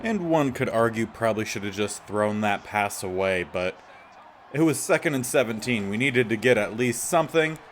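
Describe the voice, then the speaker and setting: A man with a slightly raspy voice giving casual gameplay commentary as the host of a gaming series. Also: fairly low pitch, lively intonation, moderate pace, a little hiss or hum.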